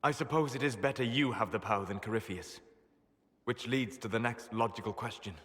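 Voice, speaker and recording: Man voicing a somber, measured elf mage. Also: medium pitch, a bit bright, in a large hall, natural voice. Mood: grave. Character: calm, learned male elf mage.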